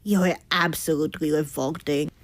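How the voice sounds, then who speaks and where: An actress playing a fastidious teenage witch, a prim and scolding twin sister in a period audio drama. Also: deep voice, steady tone, no room sound, lightly acted. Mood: disgusted, exasperated.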